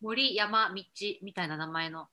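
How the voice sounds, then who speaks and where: Female co-host for informal podcast talk; bright, clear-edged tone, average register, chatty delivery. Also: medium pitch, a little slow. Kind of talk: casual chat.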